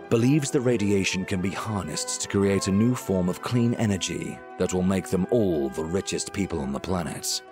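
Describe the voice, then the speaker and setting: A man reading a scripted, dramatic recap as the announcer of an audio series. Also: sits low. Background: music.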